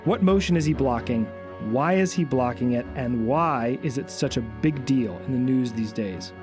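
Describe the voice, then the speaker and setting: A man's voice: mid-range voice, animated, moderate pace. Man hosting an interview, faint music. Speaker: interviewer, podcast host. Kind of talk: interview questions and intro narration.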